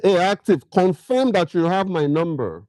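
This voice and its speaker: Middle-aged Nigerian man hosting a chatty live Q&A, fairly high voice, dry close sound. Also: lively intonation, normal speed, dark tone, no echo. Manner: chatty, fires questions at viewers, slips into pidgin. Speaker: livestream host. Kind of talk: casual livestream chat with viewers.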